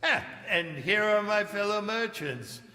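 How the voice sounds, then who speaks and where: An actor playing a fearful, repentant old miser in a stage radio play, stammering and pleading in theatrical tones. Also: male voice, high voice, animated, bright, forceful tone, exaggerated voice. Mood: bewildered, earnest. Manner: halting, stammering, earnest and theatrical. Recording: echoing live hall stage recording.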